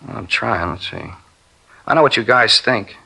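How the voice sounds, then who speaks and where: An actor playing an earnest, defensive film studio worker under questioning in a 1950s radio drama. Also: male voice, fairly low pitch, lively intonation, normal speed, vocal fry, pushed and bright, breathy edge, full character voice, rough recording. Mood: anxious explaining. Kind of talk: 1950s radio acting, naturalistic dialogue.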